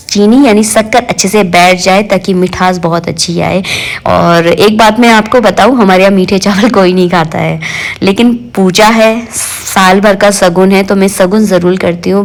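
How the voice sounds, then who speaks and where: Hoarse, gravelly woman chatting at home. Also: mid-range voice. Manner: homely, chatty, fond of the filler "jo hai na". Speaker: home vlogger. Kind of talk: casual chatty vlog narration. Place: home kitchen, indoors.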